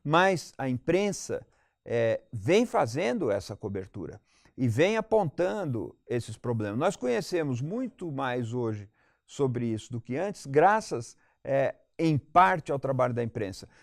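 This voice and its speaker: A man's voice: mid-range voice, very animated, unhurried, gravelly, dry recording. Brazilian man, dry close sound, analytical. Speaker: debate panel guest. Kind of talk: analytical panel debate commentary.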